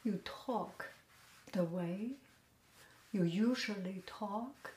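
A woman hosting a podcast, talking softly and gently in a half-whisper, in a relaxed, unhurried chat. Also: mid-range voice. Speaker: podcast host. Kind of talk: soft, slow casual chat. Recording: roomy echo with faint noise.

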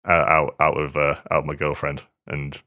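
A man's voice: a bass voice, monotone, moderate pace, pushed and bright. A British man chatting casually on a podcast, hesitant and stammering, with a self-deprecating manner. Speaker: podcast co-host, hardware journalist. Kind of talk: casual chat.

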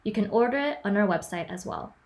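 A woman reads a podcast promotion in a scripted announcer manner. Her voice is even and mid-pitched. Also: brisk.

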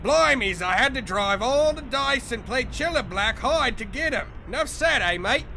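A bright, bouncy Cockney mate. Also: male voice, extremely high pitch, animated, moderate pace, a little hiss or hum, heavily acted. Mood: hearty. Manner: exclaiming, hearty banter in rhyming slang. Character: jolly Cockney mate, loud and exclaiming.

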